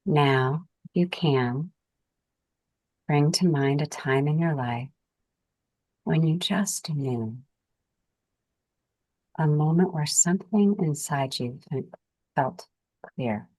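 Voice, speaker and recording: A woman leading a guided meditation as its guide, speaking calmly and soothingly in gentle, unhurried phrases with pauses. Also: low voice, no room sound, poor audio.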